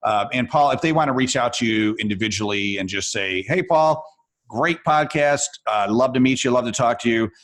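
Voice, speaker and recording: A man's voice: mid-range voice, moderate pace, a bit bright. A man hosting a podcast interview, speaking in a friendly, appreciative, casual way. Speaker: podcast host. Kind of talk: interview conversation.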